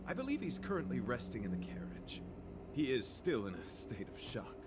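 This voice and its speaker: A man's voice: medium pitch, huge pitch swings, brisk, in a whisper. A man voicing an earnest, serenely grandiose knight in a video game, with formal, ceremonious phrasing. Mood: serene, resolute. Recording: game audio with clear background noise.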